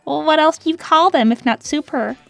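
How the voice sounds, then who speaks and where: Woman as a young cartoon hedgehog girl, high voice, girlish, peppy, touchy, sarcastic, dry close sound. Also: brisk, vocal fry, dry recording, faint music behind. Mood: wry, peppy. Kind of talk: cartoon character answering fan questions.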